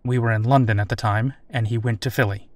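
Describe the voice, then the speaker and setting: Audio drama casting: male actor as military officer interviewer, casual, wry, mid-pitched, recorded close and dry. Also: fairly even intonation, fast talker, a little mellow, dry recording, lightly acted. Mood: recounting, thoughtful.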